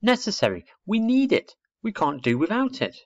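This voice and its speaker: A man's voice: medium pitch, very animated, brisk, muffled recording, voice pushed up. A man voicing a forthright, proud little schoolgirl who knows it all, in a children's storybook. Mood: emphatic.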